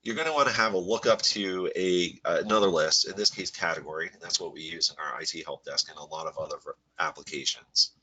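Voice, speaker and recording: A man with a head cold and a slightly nasal voice, presenting a live software webinar in a relaxed, rambling way full of fillers. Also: low-pitched, quick pace, hardly any pauses, slightly rough, poor audio. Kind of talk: live webinar walkthrough with screen share.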